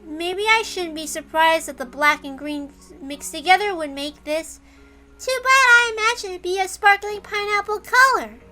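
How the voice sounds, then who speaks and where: A woman's voice: very high voice, animated, moderate pace, pushed and bright, faint music behind, pitched above the performer's own voice, full character voice. A woman voicing a cheerful, innocent young girl with a gushing, childlike manner, reading a visual novel in character. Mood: wistful, hopeful.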